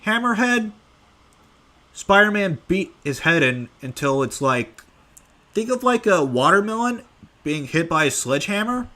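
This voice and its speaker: Young male creator, slow offhand Q&A delivery, voice swooping up and down, fairly high for a man, lots of "uh"s. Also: very animated, pushed and bright. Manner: rambling with many fillers, offhand. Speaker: creator answering fan questions. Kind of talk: casual live Q&A.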